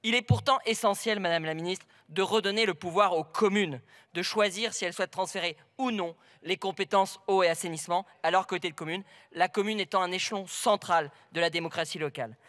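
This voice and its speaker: A man's voice: high-pitched, fairly quick, fairly bright tone. A man making a formal parliamentary speech as a politician in a debate. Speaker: politician addressing a minister in debate.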